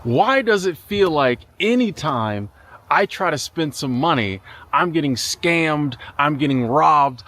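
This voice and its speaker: Emphatic middle-aged man, higher-pitched voice. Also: a bit bright. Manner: colloquial, emphatic, repeating questions. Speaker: online video creator. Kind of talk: informal monologue to camera.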